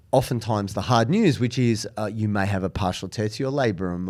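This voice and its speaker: A man with a somewhat low voice gives earnest, emphatic advice in a relaxed podcast chat. He stresses caution and explains his reasons carefully. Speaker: podcast co-host.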